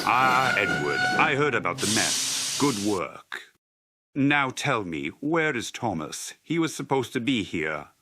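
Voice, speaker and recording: Man acting a stern, animated railway boss. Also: medium pitch, slow pace, noisy background. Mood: businesslike. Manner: formal, commanding. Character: stern, authoritative male railway controller. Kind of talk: comic dub character acting.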